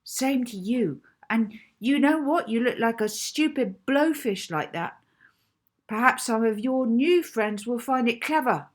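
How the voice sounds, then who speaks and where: Furious schoolgirl, played by a woman reader, high and slightly husky, emphatic, defiant, for children's audiobook dialogue. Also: animated, unhurried. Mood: hurt, furious. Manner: heated, emphatic, accusing. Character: furious, hurt young schoolgirl, snappy and defiant.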